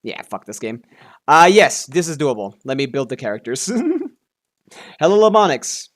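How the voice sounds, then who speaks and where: A man's voice: on the high side, sing-song, a little slow. A man giving casual live game stream commentary, thinking aloud as he talks through his options.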